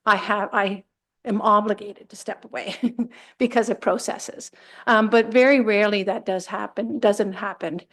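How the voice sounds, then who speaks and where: A woman's voice: medium pitch, steady tone, a little slow, fairly bright tone. A woman who manages municipal enforcement, explaining her role plainly at a council meeting.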